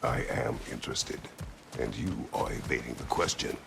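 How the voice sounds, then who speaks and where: Gravelly, abyss-deep stern warrior god. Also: male voice, lively intonation, moderate pace, background noise, exaggerated voice. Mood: stern, probing. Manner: terse, blunt, formal, few contractions. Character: gruff, stern, brooding male warrior god. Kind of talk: dramatic video game acting.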